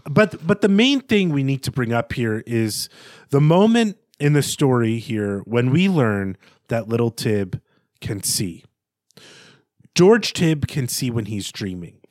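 Male podcast host, mid-pitched, articulate and reflective, halting at times, dry close recording. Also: very animated, darkish tone, dry recording. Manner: thoughtful, articulate, hesitant with stammered repeats. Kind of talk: literary analysis in conversational monologue, with quoted passages.

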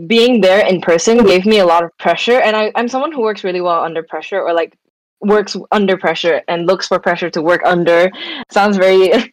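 A woman's voice: mid-range voice, no echo, low-quality recording. A young woman from Malaysia, a student guest on a casual podcast, answering thoughtfully with fillers like um and like. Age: young adult. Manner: reflective, with fillers like um and like. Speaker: guest, student society president.

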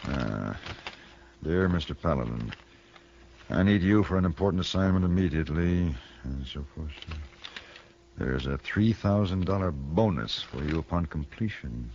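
Male, American, very low urbane gunfighter, dry but expressive, muffled vintage radio western. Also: moderate pace, a little hiss or hum. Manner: urbane, dry, formal diction. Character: suave, worldly gunfighter for hire, dryly commanding. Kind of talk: 1950s radio western acting. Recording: muffled old radio broadcast.

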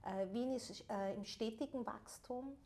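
Young woman co-host, unhurried and deliberate, with many pauses and fillers. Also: a little high, creaky, dark tone. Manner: slow, thoughtful, frequent hesitations. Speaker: podcast co-host. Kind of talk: casual chat.

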